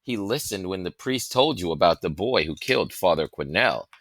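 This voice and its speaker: A man's voice: deep voice, expressive, normal speed, fairly bright tone, no echo, heavily acted. A man voicing an earnest, anxious young boy with an urgent, slightly timid manner in a storybook reading. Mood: worried, urgent. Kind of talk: storybook character voice in audiobook reading.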